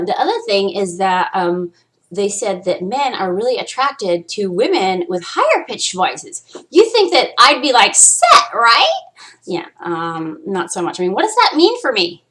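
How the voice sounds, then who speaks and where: A woman's voice: medium pitch, fairly bright tone. A woman hosting her own vlog, chatting casually and candidly, rambling with frequent swearing and playfully trying out different voices. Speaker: vlog host. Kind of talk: casual chat to camera.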